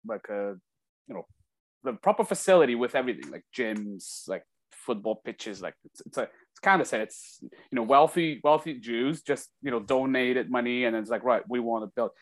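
A man from Kazakhstan with a mid-pitched voice talks casually as a guest in an interview. He tells anecdotes in an informal, conversational way, often slipping into quoted dialogue to act out what people said. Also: moderate pace. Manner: animated retelling with acted-out dialogue. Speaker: interview guest, former hockey player.

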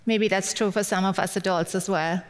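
A woman's voice: medium pitch, fairly even intonation, a little fast. German-accented woman preaching in long reverb. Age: middle-aged. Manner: personal, lightly humorous. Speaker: guest speaker at church. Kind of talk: sermon.

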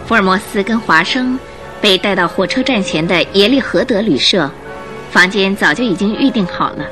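Expressive woman as detective drama narrator. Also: mid-range voice, normal speed, over music, lightly acted. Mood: narrating. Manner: clear, expressive storytelling. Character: female storyteller narrating a detective tale. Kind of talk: scripted radio drama narration.

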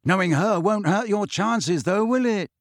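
Man doing an eager, whiny teen boy, lively and raised. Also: fairly high pitch, unhurried, dry recording, studio quality. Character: eager excitable teenage schoolboy.

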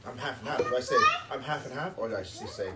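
A man's voice: mid-range voice, very animated, quick pace, very noisy. A man in a casual group chat, telling stories in a rambling way and circling back to repeat and rephrase his questions. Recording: music behind the voice. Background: music.

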